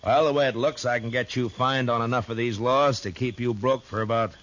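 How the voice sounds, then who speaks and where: An actor playing a calm, shrewd frontier marshal in a 1950s radio western, talking dryly and firmly. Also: male voice, mid-range voice, brisk, natural voice. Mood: steady. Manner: calm, dry and firm. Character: steady frontier lawman, grown man, calm and shrewd. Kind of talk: 1950s radio drama acting. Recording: faint hiss of an old radio recording.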